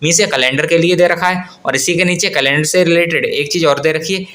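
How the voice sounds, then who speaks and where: Casting: man, slightly high, very bright forceful voice, informal news explainer who restates each point. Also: fast. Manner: explanatory, often rephrases and repeats points. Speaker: host of an exam news channel. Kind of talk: informal explainer update on government exam news.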